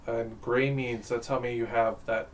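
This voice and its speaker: A man's voice: medium pitch, flat delivery, moderate pace, slight background noise. A man co-hosting a stream, laid-back and giving short replies while building a card deck. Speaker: stream co-host. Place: game store.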